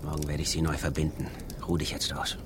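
A low-voiced, stern frontier scout. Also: male voice, monotone, fast, noisy background. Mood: grave, reverent. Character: calm, stern male frontier scout, medicine man's son. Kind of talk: radio play acting.

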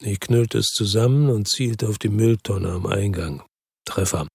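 An actor playing a world-weary, bitter crime investigator who narrates and talks tersely in a radio drama, with a gravelly, husky, deadpan voice. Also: male voice, lowish voice, unhurried. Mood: dry, reflective. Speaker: first-person narrator and lead character in a radio drama.

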